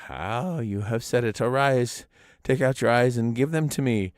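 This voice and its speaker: A man voicing an old bent grandfather in a storybook legend, sly, tremulous and deliberate. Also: mid-range voice, no room sound, clean studio sound, voice pushed up, lightly acted. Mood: commanding.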